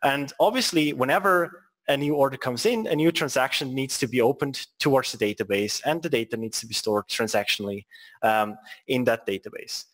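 A man's voice: medium pitch, a little fast, a bit bright. A man from Switzerland with a Swiss accent, presenting a technical webinar with joking asides and frequent restarts.

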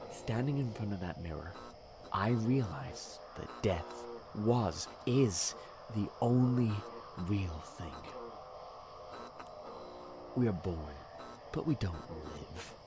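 A man playing a deranged, self-righteous serial killer in a dramatic audio drama, halting and menacing, sometimes dropping into a whisper. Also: on the low side, lively intonation, noisy background. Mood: bleak, chilling.

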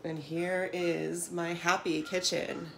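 A low-pitched American woman talks in a casual, upbeat vlog style. She is chatty and leans on her words with enthusiasm. The recording is a home kitchen one on a camera mic, with clear background noise. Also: little pitch movement, slow. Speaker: home cook and vlogger. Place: small home kitchen, indoors.

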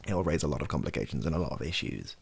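A British man in his thirties rambling softly and hesitantly in an improvised, self-deprecating ASMR style, with a gravelly, husky voice. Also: very deep voice, lively intonation, racing. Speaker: video host, ASMR creator. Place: small bedroom office, indoors.

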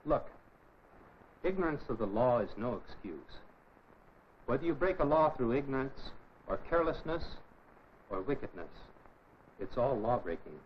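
An actor playing a firm, fair-minded middle-aged American mentor in a 1950s educational film, with a measured, lecturing manner. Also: male voice, medium pitch, big pitch swings, natural voice. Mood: stern, lecturing. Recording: muffled, noisy old 1950s film soundtrack.

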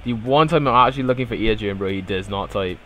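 Laid-back Bajan gaming streamer. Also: male voice, on the low side, fast, pushed and bright, faint music behind. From Barbados. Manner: laid-back slangy banter, trailing off into mumbled fillers. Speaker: gaming livestreamer. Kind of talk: casual live stream chat, reading chat aloud.

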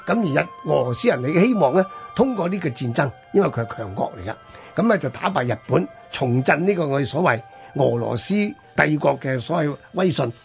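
A man with a Hong Kong Cantonese accent and a husky voice, telling history conversationally as a radio host, emphatically drawing out the ends of his phrases. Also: a little high, lively intonation, soft background music. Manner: emphatic storyteller with drawn-out phrase-ending particles.

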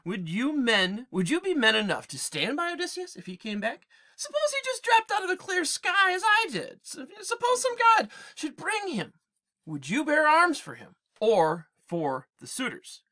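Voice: high-pitched, huge pitch swings, moderate pace, sharp tone, voice pushed up, full character voice. A teacher reading aloud as a disguised old warrior king, firm and giving orders. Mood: coaxing.

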